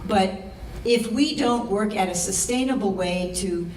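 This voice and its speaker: A woman's voice: mid-range voice, a little slow, darkish tone. A woman who is a political candidate answering firmly at a public debate in plain declarative statements. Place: college auditorium public debate. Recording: echoey hall, distant mic, background noise.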